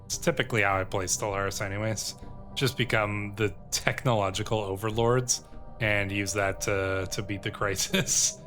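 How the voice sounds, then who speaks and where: A man's voice: on the low side, fairly even intonation, normal speed, a bit bright. A man giving casual gameplay commentary, thinking aloud tentatively and sometimes reading game text out. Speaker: video game streamer and host. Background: game music.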